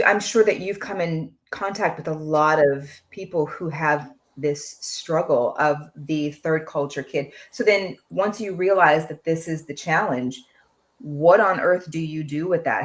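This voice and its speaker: A woman hosting a podcast interview, asking questions in an animated, encouraging way. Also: deep voice. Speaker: podcast host. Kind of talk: interview conversation.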